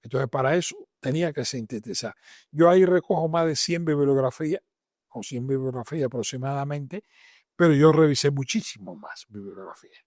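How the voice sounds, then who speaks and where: A man's voice: medium pitch, lively intonation, a little fast, darkish tone, dry recording, studio quality. An older man with a Cuban accent answering interview questions emphatically, repeating phrases as he builds lists. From Matanzas province, Cuba. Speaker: interviewed doctor and author.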